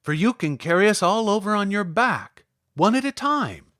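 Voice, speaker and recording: A man's voice: sits high, big pitch swings, moderate pace, fairly bright tone, dry recording, clean studio sound, pitched above the performer's own voice. A man voicing a friendly living scarecrow in a storybook, brave and logical, reasoning emphatically step by step. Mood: eager, brave.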